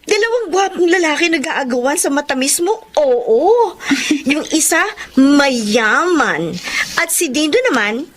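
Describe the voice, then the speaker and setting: A woman's voice: high voice, lively intonation, a little slow. A woman playing a gushing, girlish young Filipino woman, a hopeful friend in a radio drama. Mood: gushing envy. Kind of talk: Tagalog radio drama acting.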